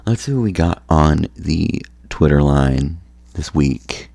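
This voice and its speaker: A tired, phlegmy man hosting a solo podcast, talking slowly and rambling with many ums and restarts. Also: animated, mellow, dry recording. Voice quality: gravelly, low voice. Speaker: podcast host.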